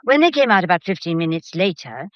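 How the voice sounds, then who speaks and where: A woman's voice: on the low side, big pitch swings, fast talker, dry recording. Worked-up secretary lady, confiding, indignant, radio drama, dull dry recording. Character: agitated, loyal longtime art gallery secretary, woman.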